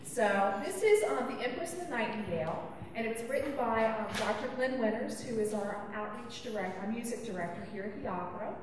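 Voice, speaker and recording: A woman who directs education at an opera company gives a welcome speech to a family audience, pausing often with ums and restarting her sentences. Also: fairly high pitch, animated, a little hiss or hum. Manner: hesitant, many ums and restarts. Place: large opera house auditorium. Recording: roomy hall, distant, audible ambience.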